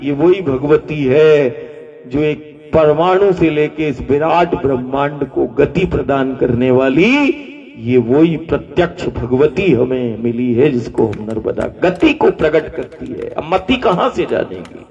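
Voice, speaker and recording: Slow, preaching man heard from afar. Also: on the high side, lively intonation, a little mellow. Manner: rhetorical, repeating phrases, asking the crowd to repeat after him. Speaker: spiritual teacher. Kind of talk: devotional discourse to a gathering. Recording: dull distant live recording with long reverb.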